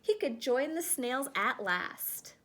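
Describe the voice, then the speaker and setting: A woman reading a children's picture book aloud in an expressive storytelling style. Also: sits high, slow, a little hiss or hum. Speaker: storybook reader.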